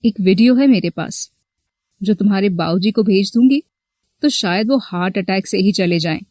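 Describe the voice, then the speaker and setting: A woman's voice: medium pitch, normal speed, warm tone, no room sound, natural voice. A woman playing a flirty, possessive, manipulative married college teacher in a scripted story. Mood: cold, threatening. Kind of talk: scripted Hindi audio story dialogue.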